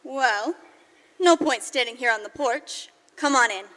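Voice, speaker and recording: A woman's voice: sits high, measured pace, pushed and bright. A woman playing a pert, teasing young woman in a 1940s radio drama, coy and direct with a reluctant suitor. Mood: teasing, inviting. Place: large church, before an audience. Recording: live church performance, long hall reverb.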